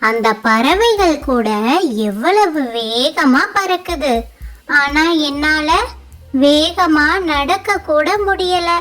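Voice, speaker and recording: Woman acting small cartoon tortoise, high childlike voice, plaintive, slow delivery, music underneath. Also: fairly bright tone, full character voice. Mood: dejected, hurt. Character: sad, self-pitying young tortoise with a heavy shell. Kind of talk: children's animated moral story dialogue.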